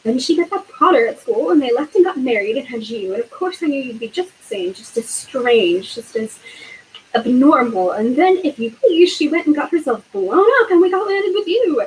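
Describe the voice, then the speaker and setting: A woman voicing a shrill, bitter middle-aged aunt who rants. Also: sing-song, normal speed, a little hiss or hum, poor audio. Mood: ranting. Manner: ranting, shrill.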